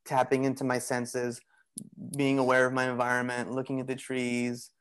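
A man speaking as a guest on a podcast, in a voice of middling pitch. He talks in a loose, meandering way, leaning on "you know" and "like" as he works through his thoughts. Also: normal speed, smooth. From Greek heritage. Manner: relaxed, rambling, many "you know" and "like" fillers. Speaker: podcast guest, bodywork practitioner. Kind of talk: casual conversational interview.

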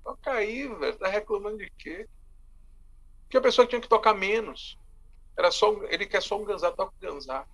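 Lively husky Bahian man. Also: high voice, fairly quick. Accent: Salvador, Bahia. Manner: animated, often says "velho". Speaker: musician and bandleader. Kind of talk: casual interview storytelling.

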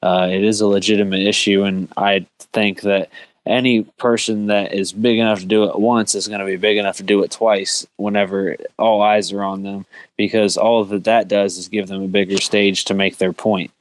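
A man's voice: lowish voice, flat delivery, normal speed, low-quality recording. A man on a sports talk panel giving earnest, opinionated takes in casual discussion.

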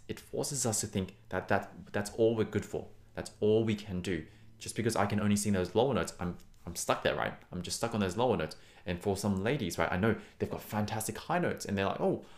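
A man who works as a voice teacher and host, talking conversationally to listeners in an encouraging, emphatic and reassuring way. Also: low-pitched. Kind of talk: conversational singing lesson to listeners.

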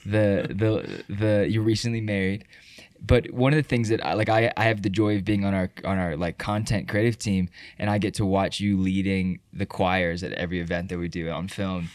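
Effusive, informal male interviewer, lowish dry voice. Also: fairly even intonation, normal speed, a little mellow, dry recording. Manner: effusive, informal, repeats himself as he builds questions. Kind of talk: casual chat.

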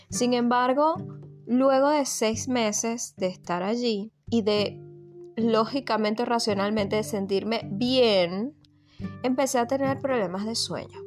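A woman from Venezuela with a Venezuelan accent, telling a personal story in a reflective way, pausing and repeating words as she thinks. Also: on the high side. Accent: Venezuelan Spanish. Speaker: vlogger. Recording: guitar music underneath.

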